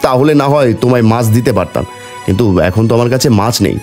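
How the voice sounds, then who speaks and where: Man playing an animated, scheming village fish seller. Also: mid-range voice, fast talker, soft background music, natural voice. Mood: bargaining. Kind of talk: cartoon voice acting.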